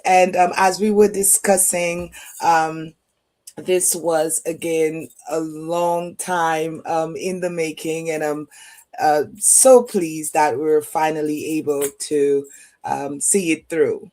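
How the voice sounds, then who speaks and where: A woman hosting an interview over a video call, asking questions slowly with many ums and uhs. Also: deep voice, steady tone, darkish tone. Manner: slow, halting with many fillers. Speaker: host.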